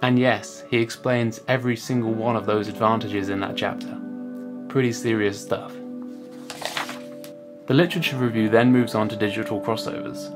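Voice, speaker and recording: A man's voice: fairly low pitch, steady tone, normal speed, over music. A man in his thirties giving a scripted explainer to camera as a student presenting his project. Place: small indoor room at home.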